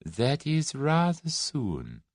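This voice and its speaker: Guy putting on a hushed sibilant villain, low and smooth, quiet menace, audiobook, dead dry sound. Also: big pitch swings, measured pace, dry recording. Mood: amused. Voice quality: soft, sibilant. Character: soft-spoken sinister Russian conspiracy chief.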